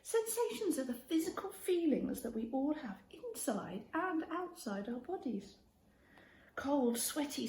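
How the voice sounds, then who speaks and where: A woman reading a children's story aloud in an expressive way, speaking directly to young listeners and prompting them. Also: sits high. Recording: roomy echo with faint background noise.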